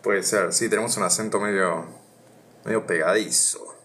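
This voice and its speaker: A man's voice: low voice, normal speed, fairly bright tone. An Argentine man with a Rioplatense accent, reading philosophy aloud on a live stream and chatting with viewers, thinking aloud with many hesitations. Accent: Argentine Rioplatense Spanish. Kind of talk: casual live reading and chat.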